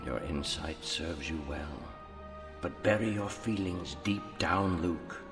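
A solemn, older mentor returned as a spirit in a radio play. His low voice is patient, formal and admonishing. It trails long ghostly reverb, with music swelling behind it. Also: takes it slowly. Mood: grave, reflective. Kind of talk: radio drama acting.

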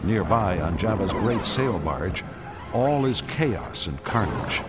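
A man narrating a radio drama in a dramatic, grave, portentous and measured way. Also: lowish voice, sing-song, over loud music. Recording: orchestral score behind the voice.

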